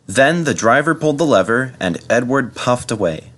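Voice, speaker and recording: A man's voice: medium pitch, expressive, normal speed, a bit bright. A man narrating in the manner of a children's storybook reader. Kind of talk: storybook narration and spoken video introduction.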